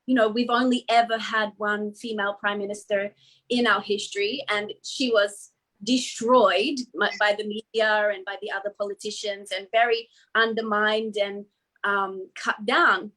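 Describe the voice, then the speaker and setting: A woman's voice: mid-range voice, fairly even intonation, normal speed, sharp tone, poor audio. A middle-aged Australian woman who answers interview questions earnestly, in flowing sentences with frequent "you know.". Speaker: guest speaker.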